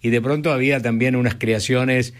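A man's voice: medium pitch, fairly even intonation, a little fast. A man with an Argentine Rioplatense accent hosting radio, chatting expressively between interview and music commentary. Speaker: radio host.

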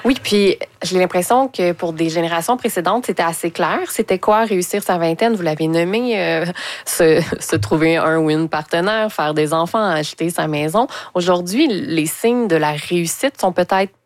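A woman's voice: on the low side, moderate pace, dry recording. Woman hosting a radio show. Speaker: radio host. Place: radio studio.

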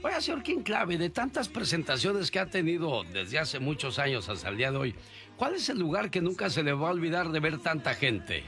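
A man's voice: on the high side, sing-song, fairly quick, pushed and bright, soft background music. A man hosting a morning radio show, interviewing a guest in a formal announcer's manner and addressing the listeners directly. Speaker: radio host.

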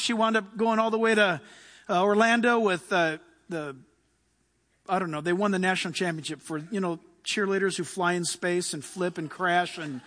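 High, bright-voiced male preacher in a reverberant hall. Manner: emphatic and exhortatory, conversational, swinging from hushed asides to shouts. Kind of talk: sermon.

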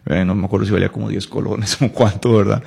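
Gravelly, low-pitched Costa Rican man. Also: little pitch movement, mellow. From Costa Rica, Palmares in the western Central Valley. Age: late 40s. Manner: halting, reflective, repeats words and seeks agreement with tags. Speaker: interview guest, writer and designer. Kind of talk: casual conversational interview.

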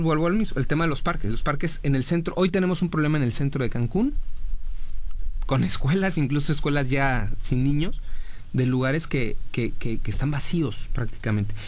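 A man's voice: mid-range voice, brisk. Mexican man in casual, opinionated discussion.

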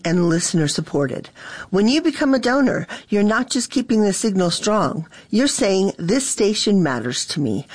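A woman's voice: medium pitch, dry recording. Inviting woman station announcer, dry. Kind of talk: fundraising promo.

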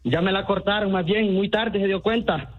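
A man's voice: high-pitched, normal speed, soft background music. A man from Venezuela taking a prank phone call, dry and unbothered, firing wisecracks back. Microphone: phone line.

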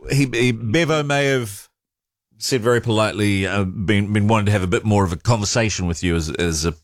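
A man hosting talkback radio, calm and polite while gently pushing back on a caller. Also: fairly low pitch, fairly quick, no room sound. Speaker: radio talk show host.

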